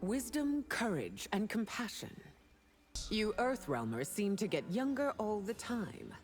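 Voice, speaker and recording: An actress playing a regal, stern warrior empress and mother in a video game, formal and measured. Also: mid-range voice, sing-song. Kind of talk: video game character dialogue. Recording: loud music behind the dialogue. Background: music.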